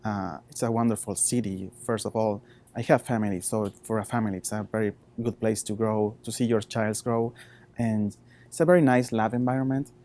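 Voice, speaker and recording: A man in his thirties with a Chilean Spanish accent, a researcher talking informally about his work in halting non-native English. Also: lowish voice, steady tone, warm tone. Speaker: postdoctoral researcher.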